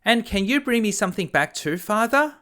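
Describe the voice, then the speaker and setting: Man putting on a high, demanding spoiled daughter. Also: lively intonation, a little fast, sharp tone. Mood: wheedling, demanding. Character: demanding, spoiled young woman, merchant's elder daughter. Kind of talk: children's fairy tale storybook reading.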